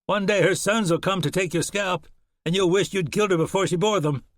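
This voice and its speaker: A man's voice: sits high, brisk, no room sound, studio quality, pitched above the performer's own voice, close to an everyday voice. A man playing a brutal, sneering frontier horseman. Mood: hateful. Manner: frontier drawl, sneering and harsh.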